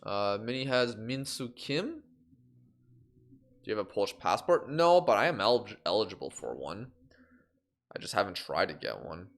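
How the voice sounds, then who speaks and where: A man's voice: lowish voice, very animated, normal speed, long pauses. A young man from Canada, chatting on a live game stream and reacting aloud to results.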